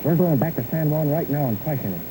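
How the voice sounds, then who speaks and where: An actor playing a determined young hero in a 1930s film serial, brisk and commanding. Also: male voice, fairly high pitch, lively intonation, natural voice. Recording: hissy old VHS film transfer.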